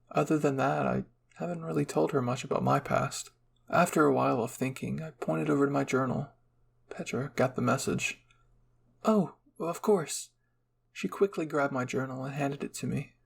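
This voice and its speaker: A man reading a first-person fan fiction story as soft, measured ASMR narration. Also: medium pitch, creaky, slight background noise.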